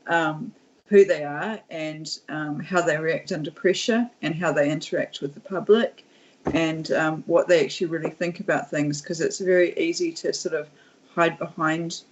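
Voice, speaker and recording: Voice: mid-range voice, steady tone, moderate pace, slight background noise. Earnest nz speaker on a stream, ums a lot, muffled audio. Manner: earnest, rambling with fillers. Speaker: guest on a livestream. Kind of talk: casual livestream chat.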